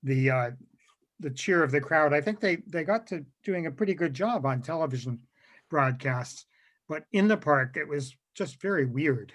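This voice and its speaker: A man's voice: mid-range voice, a bit bright. A man chatting casually on a video call, telling things in a rambling, conversational way. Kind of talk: casual anecdotal chat.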